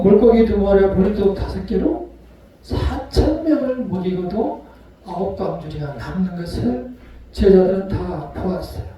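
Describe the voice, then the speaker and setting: High-pitched pastor, booming church echo. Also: male voice, slight background noise. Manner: storytelling, acts out quoted voices; swings between loud and soft. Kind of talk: sermon. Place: church sanctuary. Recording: echoey hall from far off.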